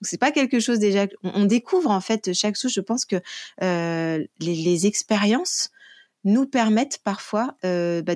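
A woman in middle age with a slightly low voice speaks casually as a podcast guest. She reflects as she goes, hesitating and rephrasing her sentences. The sound is dry and close, with little room in it. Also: a little mellow, no echo. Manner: hesitant, searching for words, self-correcting. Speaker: interview guest, online French and Turkish teacher.

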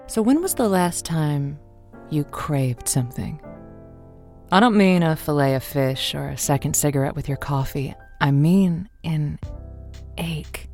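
A woman playing a wistful, self-deprecating romantic late-night radio host in an audio drama, with a breathy, confiding delivery. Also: lowish voice, darkish tone, lightly acted. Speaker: radio call-in show host. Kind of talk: semi-improvised audio drama, intimate radio monologue.

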